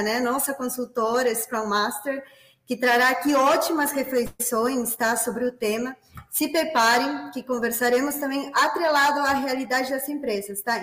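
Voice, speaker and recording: A woman from Peru hosts a corporate webinar in a higher voice and a Peruvian Spanish accent. She talks in a friendly way and fills her speech with small tags. The sound is roomy and echoey, as on a video call. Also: a bit bright. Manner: friendly, many fillers like "né" and "tá". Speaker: webinar host, business development manager.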